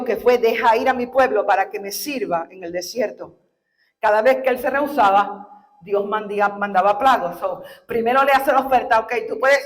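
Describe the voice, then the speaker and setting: A woman pastor from Cuba preaching a sermon in a fervent style, climbing to shouted, tearful peaks and slowing down when she reads scripture. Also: fairly high pitch. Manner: slow, deliberate scripture reading.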